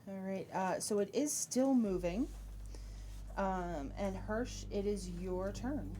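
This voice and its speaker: A woman's voice: mid-range voice, slow pace, warm tone, slight background noise. A woman acting as game master in a tabletop roleplaying game, casually talking through rules and thinking aloud. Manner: thinks aloud while checking rules and rolls. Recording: voice over background music. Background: music.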